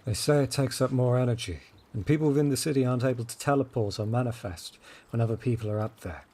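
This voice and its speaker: A man's voice: mid-range voice, fast talker, warm tone, lightly acted. An actor playing a cautious, helpful young man in an audio drama, explaining things earnestly and easily flustered. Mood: earnest, urgent.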